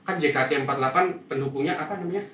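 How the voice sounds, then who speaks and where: A man's voice: fairly high pitch, racing, a bit bright. A man with a Jakarta accent hosting a casual group chat, asking questions in a teasing, slangy, jokey way. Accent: Jakarta Indonesian. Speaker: podcast host asking questions. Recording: echoey room, distant mic, faint noise.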